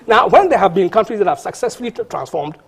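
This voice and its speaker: A man's voice: high-pitched, big pitch swings, fast, creaky, husky. A man, a panel guest arguing insistently in a heated TV debate, often restarting and repeating words.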